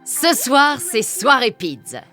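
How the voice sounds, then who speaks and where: A woman's voice: high voice, big pitch swings, takes it slowly, music in the background, exaggerated voice. An actress playing a brash, plain-spoken working-class mother in a naturalistic audio drama, casual and blunt. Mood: casual, teasing.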